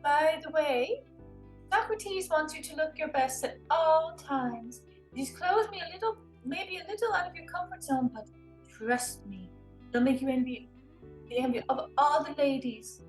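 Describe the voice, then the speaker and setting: A woman's voice: sits high, measured pace, faint music behind, poor audio. A woman acting a persuasive, seductive recruiter in a comedic table read, coaxing and reassuring. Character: persuasive, seductive female recruiter for a pickup artist.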